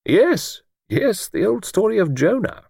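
A man voicing a mild, absent-minded, courteous old village vicar who speaks gently and hesitantly, repeating himself. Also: sits high, sing-song, a little slow, vocal fry, slightly rough, no room sound, studio quality, voice pushed up, full character voice. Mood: mild, regretful. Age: older.

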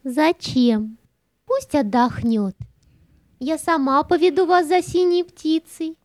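A woman's voice: sits high, slow pace, no room sound. A woman playing a sly, scheming cat with an insinuating, theatrical manner in a children's audio play. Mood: coaxing, sly. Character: sly, scheming female cat, spiteful and coaxing.

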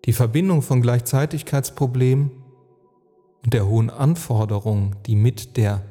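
A man delivering a measured scripted monologue in formal, academic, long sentences. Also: mid-range voice, warm tone, big-room sound. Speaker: narrator giving an essay on climate. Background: music.

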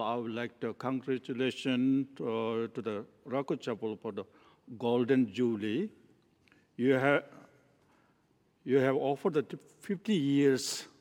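Slow halting Nepal-born man in echoey hall. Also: mid-range voice, darkish tone. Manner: slow, halting non-native English with many restarts. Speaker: Tibetan Buddhist teacher. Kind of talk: spoken teaching talk. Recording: long hall reverb.

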